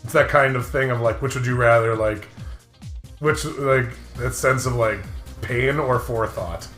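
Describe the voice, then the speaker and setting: A man's voice: mid-range voice, unhurried, fairly bright tone, reverberant, faint music behind. A man co-hosting a casual comedy chat, giggly and rambling as he spins absurd hypotheticals. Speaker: podcast co-host.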